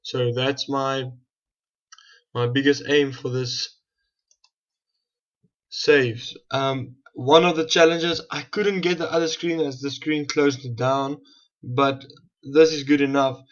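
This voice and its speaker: A dull, lo-fi recording of a South African man with an Afrikaans mother tongue. His mid-pitched voice stays flat and even as he talks casually through a game, hesitating and repeating himself as he goes. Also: slow pace, pauses often. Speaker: gaming video host. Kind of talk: casual gameplay commentary. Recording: dull, muffled lo-fi home recording.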